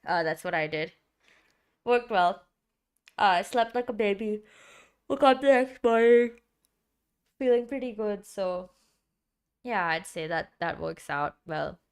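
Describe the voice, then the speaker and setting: A woman's voice: medium pitch, expressive, measured pace, long pauses, smooth. A young woman streamer with a dry, sick-sounding throat, chatting casually and rambling with lots of filler words. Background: background music.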